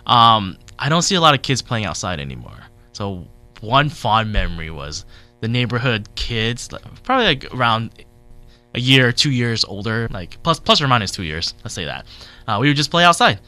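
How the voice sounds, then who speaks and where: A man's voice: mid-range voice, big pitch swings, moderate pace, pushed and bright, soft background music. A man in his thirties, born in Vietnam and raised in Southern California, chatting as an interview guest in a rambling, easygoing way with lots of fillers. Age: 30s. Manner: rambling, chatty, laughs easily, many fillers. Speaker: interview guest, technology consultant. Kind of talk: casual interview.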